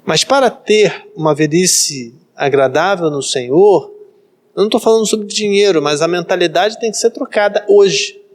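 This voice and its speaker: A man's voice: high-pitched, moderate pace. A man in his thirties, a pastor from Brazil, preaching a sermon and repeating phrases for emphasis. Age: 30s.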